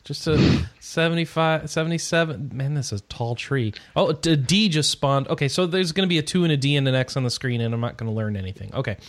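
A man's voice: a little high, fast talker, few pauses, dry recording. A man hosting a casual podcast, reading out gaming news with rambling, sarcastic asides that trail off into mumbles.

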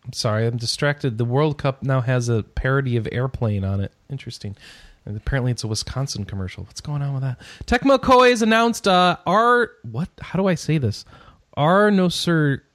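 A man's voice: medium pitch, lively intonation, moderate pace, fairly bright tone, dry recording. A man hosting a casual podcast, reading out gaming news with rambling, sarcastic asides that trail off into mumbles.

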